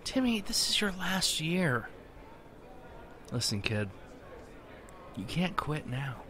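A man's voice: a little high, very animated, slow pace, a crowd in the background. A man playing a crude, callous, flippant bully in a comedic audio drama, mocking and given to muttered asides. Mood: exasperated.

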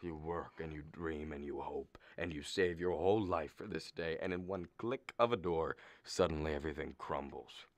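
A man's voice: rumbling low, normal speed, hardly any pauses, no room sound. An actor playing a blustering, exasperated middle-aged father of a bride in a radio comedy, theatrical and argumentative. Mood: weary, reproachful. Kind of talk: stage comedy performed as a radio play.

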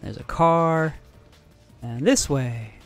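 Guy streaming a game live, sarcastic jokes, voice cracking and gravelly, kinda high and swoopy, game music behind. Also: fairly bright tone. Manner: joking, sarcastic, quick asides, giggly. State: voice cracking, going hoarse. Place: home streaming room, indoors. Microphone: headset mic.

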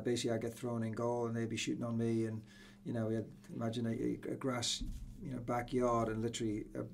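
A middle-aged man with an English accent, reminiscing casually as an interview guest, often pausing with you-knows. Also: mid-range voice, creaky, darkish tone, slight background noise. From Newcastle, England. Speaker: soccer coach, interview guest. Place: small indoor room with acoustic panels. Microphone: podcast boom microphone.

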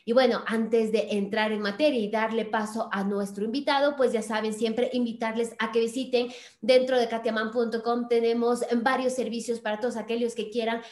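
A woman with a fairly high voice presents a podcast in an upbeat, chatty way. She speaks effusively and directly to her audience. The room around her adds a clear echo, as on a video call from home. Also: flat delivery, moderate pace, darkish tone. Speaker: podcast host. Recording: roomy, echoing room sound.

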